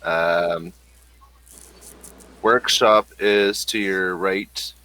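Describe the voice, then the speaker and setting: A man narrating a sci-fi tabletop session as game master, with a bright, forward tone set fairly low. He takes his time and describes each scene slowly while he works it out. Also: fairly even intonation, rough recording.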